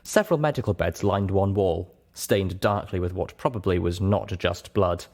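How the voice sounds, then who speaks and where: A man's voice: low-pitched, moderate pace, creaky, darkish tone. A man reading scripted first-person fiction aloud as an audiobook narrator.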